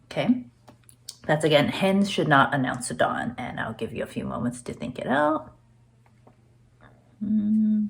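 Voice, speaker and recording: A woman's voice: medium pitch, very animated, a little slow, vocal fry, pauses often. A woman author presents a trivia question in a hesitant way, full of ums and uhs.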